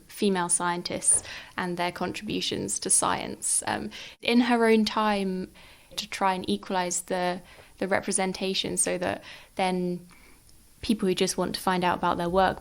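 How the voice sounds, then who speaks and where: Flat-toned woman with some vocal fry. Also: mid-range voice. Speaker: interviewer. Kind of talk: casual conversation.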